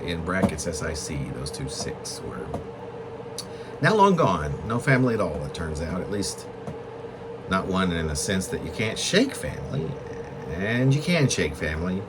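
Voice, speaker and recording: A man reading his own literary poem as a reflective monologue, in halting, deliberate phrases with pauses mid-thought. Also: low-pitched, big pitch swings, background noise. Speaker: poet reading own work.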